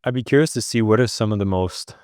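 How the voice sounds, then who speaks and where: A man hosting a podcast, asking measured, slightly halting interview questions. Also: fairly low pitch, a little mellow, clear, resonant tone, dry recording, clean studio sound. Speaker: podcast host.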